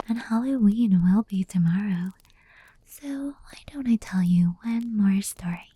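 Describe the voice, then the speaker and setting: A woman voicing an affectionate female fox spirit in a whispered anime ASMR roleplay, telling bedtime stories softly and intimately. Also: medium pitch, dry recording. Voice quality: soft, breathy whisper. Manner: slow, intimate, hushed storytelling.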